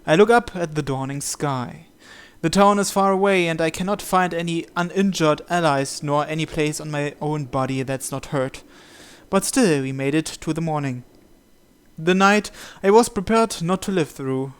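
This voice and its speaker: Guy reading visual novel narration out loud, smooth clean voice, kinda high for a man, deliberate. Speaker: video game player reading the script aloud.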